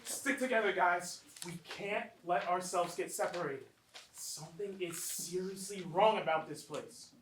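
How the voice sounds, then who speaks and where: An actor playing an uneasy young clubgoer narrating a horror tale in a theatrical, deliberate staged reading. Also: medium pitch, natural voice. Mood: urgent. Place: small theatre, recorded from a distance. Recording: distant roomy stage recording, faint noise.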